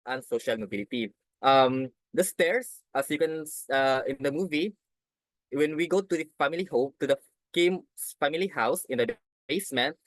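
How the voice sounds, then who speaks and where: A young man giving a class presentation, halting and restarting phrases as he explains his slides. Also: on the high side, no echo, low-quality recording. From Philippines. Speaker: student presenter.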